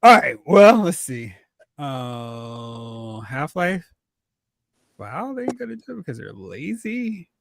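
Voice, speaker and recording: A man hosting a casual, joking movie talk show with an animated delivery. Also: mid-range voice, slow, gravelly, no room sound. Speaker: podcast host.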